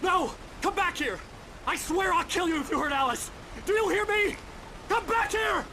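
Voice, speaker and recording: Haunted American writer, noisy backdrop. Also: male voice, very high voice, normal speed. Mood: desperate fury. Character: tense, haunted male writer searching for his kidnapped wife. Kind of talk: dramatic game acting and brooding first-person narration. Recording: game audio with clear background noise.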